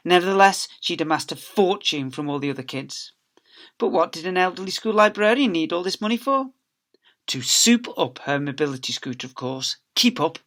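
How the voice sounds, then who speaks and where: Bright, forceful man reading a children's story. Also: on the high side, gravelly. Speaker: teacher reading aloud.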